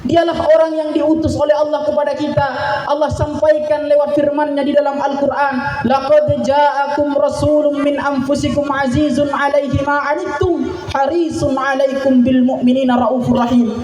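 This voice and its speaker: A man's voice: extremely high pitch, level tone, moderate pace, darkish tone, slight background noise. A young man from Madura, preaching a sermon to a gathering and reciting Arabic in a rising, declamatory way. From Madura, Indonesia. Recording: echoey hall, distant mic, faint ambience.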